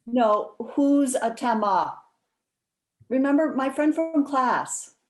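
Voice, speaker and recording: A woman's voice: high-pitched, slow pace. A woman acting a coy, sheepish friend who guards a secret, giving hesitant, evasive replies in a conversational comic one-act. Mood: playful.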